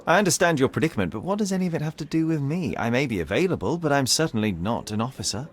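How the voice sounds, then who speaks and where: An actor playing a young, self-assured gentleman detective in a video game, speaking formally with dry politeness. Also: medium pitch, very animated, very fast, natural voice. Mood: composed. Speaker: amateur detective.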